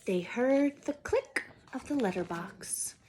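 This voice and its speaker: A woman's voice: sits high, lively intonation, slow pace, a little mellow, a little hiss or hum. A woman reading a children's story aloud expressively, as a teacher would.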